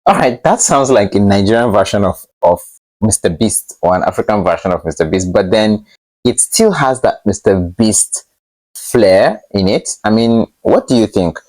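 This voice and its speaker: Male tutorial host, low register, informal and slightly hesitant delivery, dry room-free sound. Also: lively intonation, moderate pace, a little mellow, no room sound, rough recording. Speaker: host of a tech tutorial channel. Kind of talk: casual screen-share tutorial narration.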